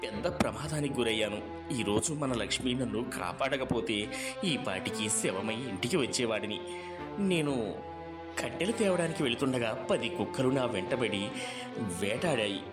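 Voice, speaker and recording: A man's voice: mid-range voice, expressive, normal speed, lightly acted. A man voicing a kindly, earnest village cowherd and milk seller in a dramatized moral story. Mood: shaken. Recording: music behind the voice. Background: background music.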